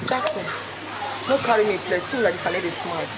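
A woman's voice: medium pitch, quick pace, very noisy, lightly acted. A woman with a Nigerian accent playing an insistent, scolding woman who demands her money. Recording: echoey, distant, music behind.

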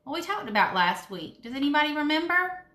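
A woman's voice: high-pitched, big pitch swings, a little fast, sharp tone, echoey room. A woman in her thirties reading a picture book aloud to children, explaining carefully and putting questions to her listeners. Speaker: children's story-time reader. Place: indoors in a home room with wood cabinets and brick wall.

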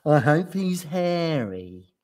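A man voicing swooning female gorillas in a storybook, with drawn-out admiring delivery. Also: a little high, big pitch swings, a little mellow, voice pushed up.

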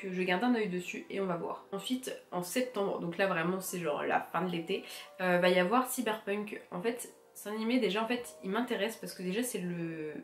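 A young woman with a cold and a stuffy nose, chatting casually to camera about what she plans to watch, with lots of fillers and restarts. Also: medium pitch. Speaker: anime vlogger. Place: room with bookshelves. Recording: roomy echo, faint music behind.

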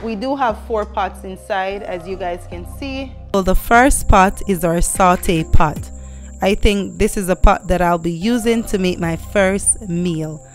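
A woman's voice: medium pitch, unhurried, soft background music. A young woman vlogging from her home kitchen on a lapel mic, chatty and upbeat as she unboxes cookware and talks straight to her viewers. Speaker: lifestyle vlogger. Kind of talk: casual product unboxing. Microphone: clip-on lapel mic.

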